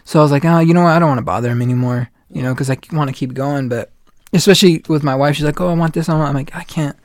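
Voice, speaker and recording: Man, late twenties, podcast host, rambling casual talk, a bit high-pitched, dry close sound. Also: steady tone, racing, no room sound. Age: 20s. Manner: rambling, full of "like" and retold quotes. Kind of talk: casual chat.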